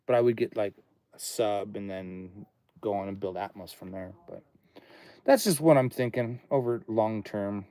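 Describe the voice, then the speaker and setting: Low mellow-voiced man musing aloud. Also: moderate pace, no room sound. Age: 30s. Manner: rambling, thinking aloud, chatting to viewers. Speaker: livestream host, studio owner. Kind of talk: casual livestream chat. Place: home recording studio.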